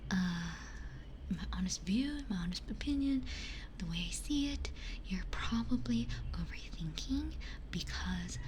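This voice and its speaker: A woman whispering casually to live viewers in an ASMR style, hushed and breathy, close on a binaural microphone. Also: medium pitch, lively intonation, unhurried, noisy background. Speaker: ASMR streamer.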